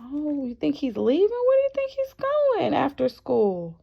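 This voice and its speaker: A woman reads to young children in a fairly high voice at a slow, deliberate pace. She is expressive and encouraging, turning to the listeners with questions like a classroom teacher. The recording sounds a little dull and lo-fi. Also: gravelly. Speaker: teacher reading a picture book aloud.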